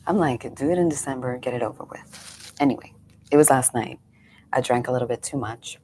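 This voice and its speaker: A woman's voice: extremely low pitch, brisk, darkish tone, slight background noise. A woman in her forties, a meditation coach, chatting casually on a live stream, slightly congested and rambling through friendly asides. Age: 40s.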